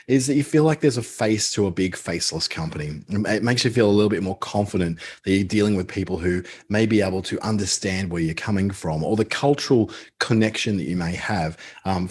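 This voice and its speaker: Man, Australian accent, lowish voice, husky, clipped word endings, chatty webinar presenter. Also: a little mellow. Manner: chatty, with frequent ums and uhs. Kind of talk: live webinar teaching.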